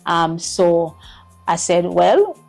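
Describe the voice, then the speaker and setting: A woman's voice: on the low side, very animated, drawn-out words, vocal fry, a little mellow, soft background music, low-quality recording. A middle-aged woman, a school principal giving earnest interview answers and repeating words as she finds them. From Jamaica.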